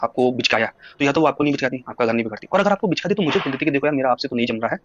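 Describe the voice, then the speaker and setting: Man, rapid-fire speech, persuasive, long-winded, dry muffled phone recording. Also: medium pitch, no echo. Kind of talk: casual phone conversation. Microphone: phone call. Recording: dull lo-fi phone call recording.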